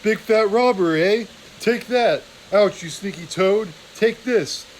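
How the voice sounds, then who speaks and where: Coarse, petty weasel guards squabble in raised, high-pitched voices, as a male storyteller puts them on. Their bickering is loud and quick-tempered, a rough storybook comedy pair. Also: a little hiss or hum, close to an everyday voice, low-quality recording. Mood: furious.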